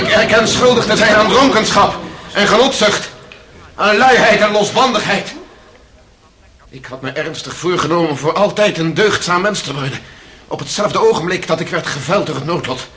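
Radio play casting: dissolute young defendant, defiant, sharp high voice, wide swings. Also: male voice, animated, normal speed, long pauses, reverberant, a faint crowd, slight background noise. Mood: defiant, fervent. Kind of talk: radio drama acting.

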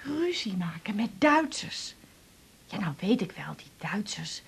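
A woman's voice: medium pitch, very animated, measured pace, gravelly, husky, heavily acted. An actress playing a hurt, chatty middle-aged mother confiding in a colloquial, rambling way, in a naturalistic radio drama. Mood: indignant, animated. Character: hurt, chatty mother back from a failed holiday.

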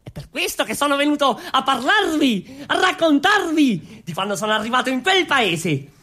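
A man playing an excitable, boastful young revolutionary who addresses the crowd with declamatory questions in a comic radio play. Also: very high voice, moderate pace, full character voice. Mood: rousing. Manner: animated, declamatory, rhetorical questioning. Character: excitable young male revolutionary rebel, boastful wandering storyteller. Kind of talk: comic street-theatre monologue on radio.

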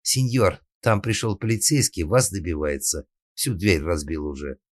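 A man's voice: sits low, normal speed, dry recording, clean studio sound. A man voicing an indignant young maidservant who talks back in a defiant, colloquial, grumbling way in a comic story. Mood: insistent.

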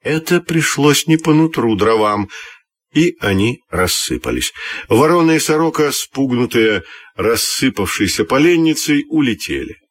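A man narrating a scripted fairy tale for children in an expressive, deliberate storytelling manner. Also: mid-range voice, husky, dry recording.

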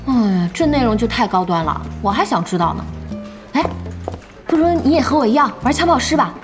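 A woman playing a lively, exasperated young gamer in an audio drama, expressive, emphatic and chatty. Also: on the high side, fairly quick. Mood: excited. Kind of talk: animated Chinese audio drama acting. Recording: music bed under the dialogue.

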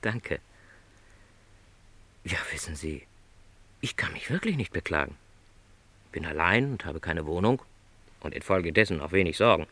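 Low-voiced, modest middle-aged travelling salesman. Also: expressive, moderate pace, pauses often, sharp tone, heavily acted. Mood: modestly content. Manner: modest, reflective, polite. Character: lonely, contented, no longer young travelling salesman. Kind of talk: radio play dialogue.

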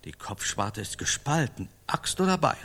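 An actor playing a blunt, clinical medieval doctor in a German radio play. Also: male voice, mid-range voice, very animated, moderate pace, fairly bright tone. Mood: blunt, brisk. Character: brisk medieval doctor, bluntly cataloguing injuries. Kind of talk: German radio drama acting.

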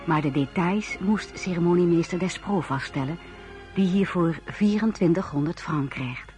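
A man's voice: fairly high pitch, normal speed, a little mellow, close to an everyday voice. A man announcing and narrating a radio drama in a scripted style. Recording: music behind the voice.

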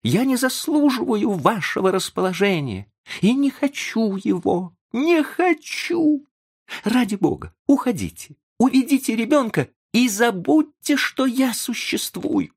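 Storybook role: desperate, agitated young man played by a male narrator, pitched high, wildly animated, exclamatory and pleading. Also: measured pace, gravelly, heavily acted.

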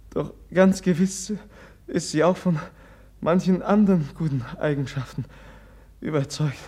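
An actor playing a gentle, naive young prince in a 1950s radio drama, speaking in a hesitant, mild way. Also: male voice, high-pitched.

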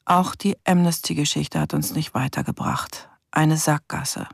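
A woman's voice: low voice, measured pace, vocal fry, slightly rough, dry recording, clean studio sound, full character voice. A woman playing a brooding young investigator in a radio crime drama, reflective, questioning and bitter in a naturalistic monologue. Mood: frustrated, brooding. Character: brooding young investigator troubled by a first murder case.

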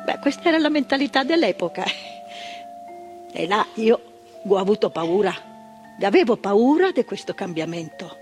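An older actress playing a frank, earnest former psychiatric nurse in a stage monologue. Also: female voice, medium pitch, very animated, moderate pace, pauses often, a little mellow, soft background music, natural voice. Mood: earnest recollection. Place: theatre stage.

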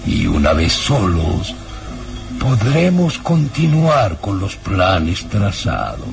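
Radio villain: scheming baron, low voice, slow imperious menace, big swooping intonation. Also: male voice, huge pitch swings, vocal fry, music in the background, exaggerated voice. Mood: cold scheming. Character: sinister, commanding baron, cold scheming villain. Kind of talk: melodramatic radio drama acting.

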